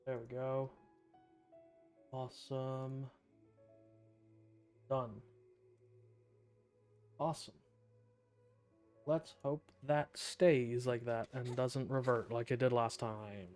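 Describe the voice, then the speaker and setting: Slow, laid-back male streamer. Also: mid-range voice, steady tone, a little mellow, soft background music. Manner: laid-back, thinking aloud, reading game text. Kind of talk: casual live-stream commentary.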